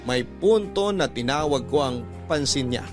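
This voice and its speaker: A man's voice: medium pitch, expressive, unhurried. A man narrating a first-person confessional letter in a dramatic radio storytelling style. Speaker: narrator reading a listener's letter. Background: background music.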